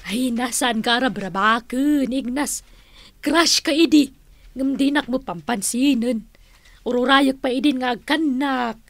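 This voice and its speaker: Flirty, pining spinster in Ilocano radio drama; high voice, dry close sound. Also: female voice, measured pace, no echo. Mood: flirty, complaining. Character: lovelorn, flirtatious, tobacco-loving spinster pining for a man.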